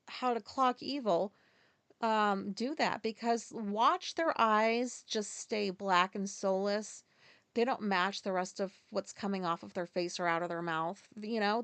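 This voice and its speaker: A woman's voice: medium pitch, smooth, dry recording. A middle-aged woman raised in Japan, hosting a solo podcast and venting in a profane, rambling way full of fillers and asides. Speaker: podcast host.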